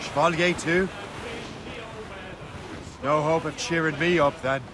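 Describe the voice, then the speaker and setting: An actor playing a cheeky, roguish young Irish sea captain in a video game cutscene, teasing and breezy. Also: male voice, on the high side, steady tone, takes it slowly, a crowd in the background. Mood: teasing, curious. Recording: clear sea and ship ambience behind. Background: waves, wind and ship sounds.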